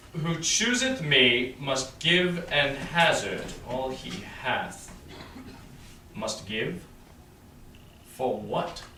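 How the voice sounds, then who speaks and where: Guy acting proud self-assured shakespeare prince, big swings in pitch, declaims, distant mic with faint noise. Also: sharp tone, echoey room, full character voice. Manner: declamatory, deliberating aloud with weighty pauses. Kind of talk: Shakespearean verse, staged reading.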